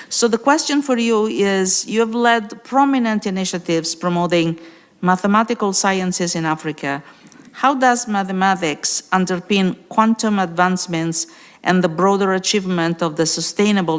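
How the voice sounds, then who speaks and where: A woman moderating a conference panel, formally introducing speakers and posing questions, with some hesitations. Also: on the low side. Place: large conference hall. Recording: reverberant conference hall.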